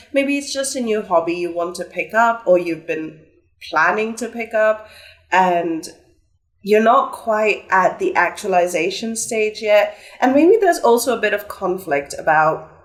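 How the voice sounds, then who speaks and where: A woman's voice: mid-range voice, expressive, measured pace. A young woman, a bit stuffy from a flu, chatting upbeat as she gives a pick-a-card tarot reading. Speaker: tarot reader.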